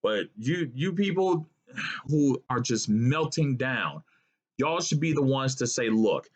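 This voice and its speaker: Lively, blunt man. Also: mid-range voice, slow. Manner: blunt, profane and animated, with rambling asides. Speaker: vlogger. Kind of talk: casual political rant to camera.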